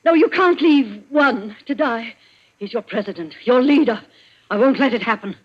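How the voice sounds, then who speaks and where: A woman's voice: fairly high pitch, expressive, normal speed, breathy edge. An actress playing an imperious, fiercely devoted woman in a criminal gang, theatrical and commanding in 1940s radio melodrama style, on a muffled old recording. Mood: desperate.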